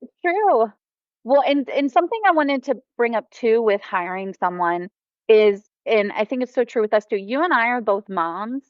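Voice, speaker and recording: A woman's voice: a little high, expressive, moderate pace, dry recording, highs cut off. An American woman, a mom and former teacher, talking enthusiastically as a podcast guest. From United States. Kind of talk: casual podcast conversation.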